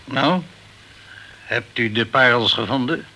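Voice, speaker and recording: An actor playing a gruff, guarded male sea captain in a Dutch radio crime drama, speaking tersely. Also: medium pitch, slow, pushed and bright. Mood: casual.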